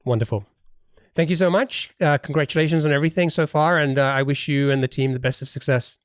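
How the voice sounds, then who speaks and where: A man's voice: a little high, fast, dry recording, studio quality. A man hosting a casual interview podcast, thinking aloud with hesitations and repeated words.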